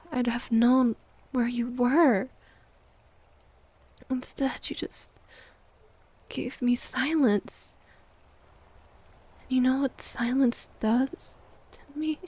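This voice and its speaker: A woman's voice: on the high side, mellow, slightly rough, heavily acted. A woman playing a jealous, needy, wounded girlfriend in an ASMR roleplay audio drama, sighing and often dropping toward a whisper. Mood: hurt, guilt-tripping.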